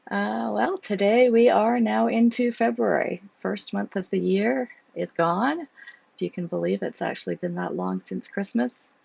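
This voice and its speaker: A woman with a cold who chats casually as an author and then reads from her own novel, sounding hoarse. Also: medium pitch, a little slow, a little mellow, dry recording, dull audio. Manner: chatty, with fillers before reading. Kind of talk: casual chat, then book reading.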